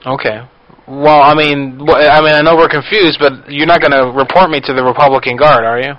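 A man making a prank phone call, talking casually in a deadpan, persistent way on a dull lo-fi recording. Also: fairly high pitch, a little fast. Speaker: prank caller. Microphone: telephone line.

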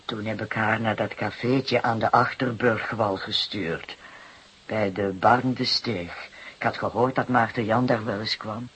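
A weary mother of a big working-class family speaks in a very rough, breathy voice. The delivery is plaintive and halting, with an aggrieved, emotional edge. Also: medium pitch, fairly even intonation, a bit bright, poor audio. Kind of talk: Dutch radio drama acting.

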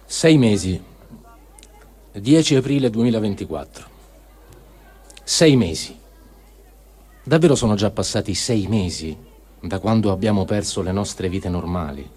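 A man giving a somber, deliberate dramatic reading of diary texts for a radio program. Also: on the low side, lively intonation, darkish tone, husky. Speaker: reader.

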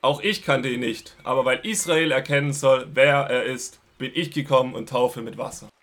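An actor playing a fervent desert prophet in a biblical radio drama, speaking in a raised, proclaiming way. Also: male voice, medium pitch, normal speed, fairly bright tone, natural voice.

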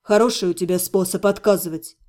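Woman voicing a low, bitter young necromancer. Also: steady tone, mellow, lightly acted. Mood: weary, reproachful. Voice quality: cold, restrained. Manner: bitter, deliberate, reproachful. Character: bitter young male necromancer, jilted fiancé, cold and weary. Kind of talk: dramatized audiobook dialogue.

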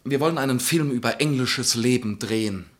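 An actor in a German radio play voicing a breezy, boastful film writer, chatty and exuberant. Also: male voice, mid-range voice, normal speed, fairly bright tone, natural voice. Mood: self-important. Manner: chatty, exuberant, laughing, name-dropping.

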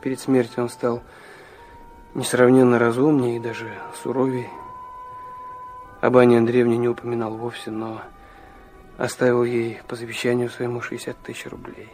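An actor playing an earnest young man narrating his confessional memoir in a Russian literary radio drama, reflective and dramatic, with pauses. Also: mid-range voice, monotone, darkish tone, soft background music, close to an everyday voice.

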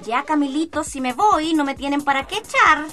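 An actress playing a fussy, affectionate, nagging mother in a radio drama, with a Chilean accent and an expressive scolding way of talking. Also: high voice, moderate pace. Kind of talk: scripted radio drama acting.

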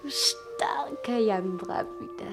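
A woman's voice: on the high side, slow, loud music behind the voice. An actress playing a passionate young woman of twenty in love in a German radio play, intense and tender, often near a whisper.

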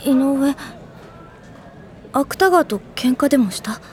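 A woman's voice: high voice, lively intonation, slow, slight background noise. A woman voicing a prickly, aloof teenage schoolgirl who hides her concern, talking in a curt, awkward way in a Japanese audio drama. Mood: curt, feigned indifference.

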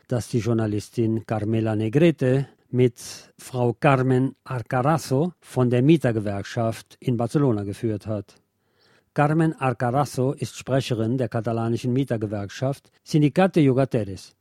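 A man presents a written interview as a radio host, reading it out in scripted narration. His voice is mellow and soft-edged, sitting at an average male pitch. The sound is dry, with very little room on it. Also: no room sound.

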